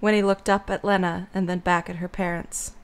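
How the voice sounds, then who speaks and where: A woman's voice: on the low side, little pitch movement, brisk, clean tone. A woman narrating a scripted story as the narrator of an audio drama.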